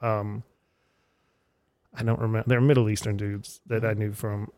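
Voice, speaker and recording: Relaxed man hosting a dry-sounding podcast. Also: lowish voice, normal speed, no room sound. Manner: relaxed, conversational, talks at length. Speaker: podcast host. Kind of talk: casual interview chat.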